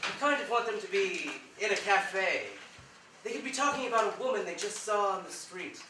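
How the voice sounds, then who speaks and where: A man's voice: sits high, moderate pace, close to an everyday voice. A man on stage playing a pretentious, earnest, pushy film-buff writer, opinionated and declamatory. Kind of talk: theatrical staged-reading dialogue. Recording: distant echoey stage recording, faint noise.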